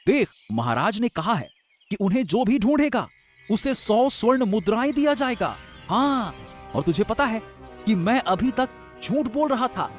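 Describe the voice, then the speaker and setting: A man voicing a greedy, lying elder brother with a sly, flattering manner in a moral-story cartoon. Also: high voice, a little slow, faint music behind. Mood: conspiratorial. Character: scheming young man, greedy elder brother who lies. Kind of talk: animated Hindi moral-story dialogue.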